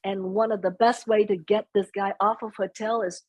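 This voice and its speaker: Soft-spoken, husky middle-aged woman. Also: mid-range voice, fairly even intonation, moderate pace. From United States. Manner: soft-spoken, switches into Vietnamese phrases. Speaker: guest. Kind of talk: conversational interview.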